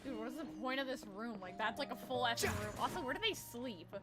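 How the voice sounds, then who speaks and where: A woman's voice: a little high, lively intonation, fairly quick. A young woman giving casual, slangy, sweary let's play commentary with an amused manner. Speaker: game streamer. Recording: game music behind voice.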